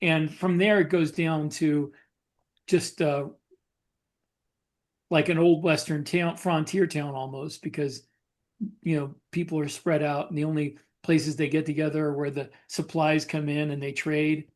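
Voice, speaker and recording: A man co-hosting a casual podcast discussion as a writer, explaining things in a halting way. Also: on the high side, little pitch movement. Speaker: podcast co-host, science fiction writer.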